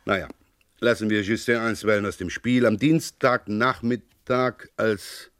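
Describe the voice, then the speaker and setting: Sardonic, needling police inspector. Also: male voice, lowish voice, fairly even intonation, fairly bright tone, no echo, clean studio sound. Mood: dry, probing. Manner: ironic, needling, deliberate. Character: sardonic, persistent Paris police inspector interrogating a suspect. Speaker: radio drama actor. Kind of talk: vintage German radio crime drama acting.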